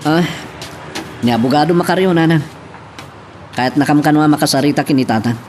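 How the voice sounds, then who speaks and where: A man's voice: fairly high pitch, fairly quick, darkish tone, natural voice. A man playing an earnest, defiant young male protester in a radio-style drama. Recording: faint background noise.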